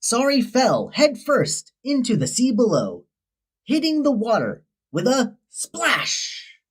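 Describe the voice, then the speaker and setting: Female storybook narrator, expressive, slow-paced and highly animated. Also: husky. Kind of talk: scripted children's story read-aloud.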